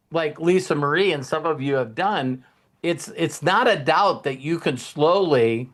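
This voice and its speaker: A man's voice: on the high side, a bit bright. A man hosting a casual motivational talk in a rambling, folksy, anecdotal way. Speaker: host of a motivational team talk.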